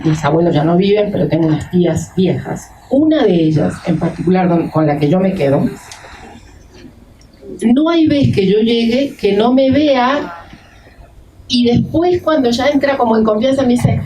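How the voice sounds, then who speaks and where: A woman in her fifties from Uruguay tells a reflective personal story in a panel discussion, pausing often as she thinks aloud. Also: on the high side, lively intonation, dark tone. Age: 50s. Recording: distant mic with clear noise.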